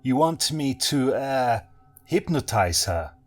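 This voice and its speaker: A man voicing a booming Eastern European hypnotist. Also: sing-song, slow, faint music behind. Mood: theatrical. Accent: Eastern European, put on. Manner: theatrical, booming, prone to wild laughter. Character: eccentric middle-aged male Eastern European hypnotist.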